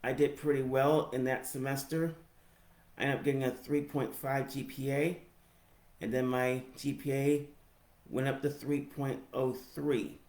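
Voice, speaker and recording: An American man in his forties with a speech impediment, giving a personal reflective narration in halting, deliberate phrases. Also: mid-range voice, flat delivery, darkish tone. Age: 40s. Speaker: higher education professional telling own story. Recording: roomy, echoey sound.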